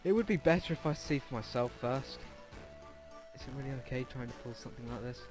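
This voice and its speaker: Casting: male let's player, informal visual novel read-aloud, mid-range voice, loose and unpolished delivery, clear music underneath. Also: medium pitch, fast talker. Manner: informal, stumbles over words while reading. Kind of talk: casual read-aloud game narration with asides. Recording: music behind the voice. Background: game music.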